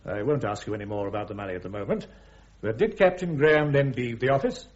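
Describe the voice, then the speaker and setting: An actor playing a brisk army major prosecuting at a court-martial in a radio drama, with a British accent and formal, methodical questioning. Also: male voice, mid-range voice, expressive, natural voice. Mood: brisk, probing. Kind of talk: full-cast radio drama acting. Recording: dull, muffled radio recording.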